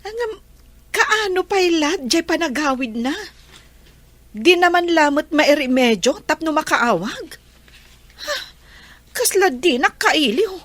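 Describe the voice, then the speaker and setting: A woman's voice: high-pitched, sing-song, slow pace, long pauses, a bit bright, dry recording, full character voice. A woman acting an anxious, emotional young lover in a radio drama, with an Ilocano accent. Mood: suspicious, pouting. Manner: emotional, plaintive. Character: Lilia, anxious, heartbroken young pregnant woman, betrayed lover.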